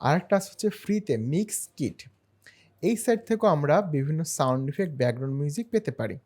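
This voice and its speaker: A man narrating a screen-share software tutorial in a step-by-step, explanatory way. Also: a little high, animated, quick pace. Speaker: tutorial host.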